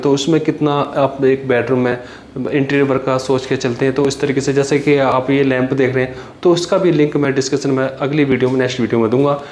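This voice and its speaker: Young man, mid-pitched, homespun tutorial delivery, phone-recorded in a bare, echoey bedroom. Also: a little mellow. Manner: plain explanatory talk with frequent "jaise ki" and pauses. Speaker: carpenter showing his furniture work. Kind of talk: walkthrough tutorial. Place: finished bedroom with hard floor and walls. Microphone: handheld phone camera. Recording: echoey room with faint ambient noise.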